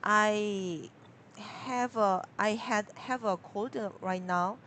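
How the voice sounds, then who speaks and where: A woman with a cold and a sore throat speaks as a learner, slowly and haltingly, breaking off to restart her sentences. She fills the gaps with hesitant sounds. A faint hiss sits under her voice. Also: medium pitch, fairly bright tone. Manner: halting, many fillers and restarts. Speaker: English learner. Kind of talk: casual conversation practice.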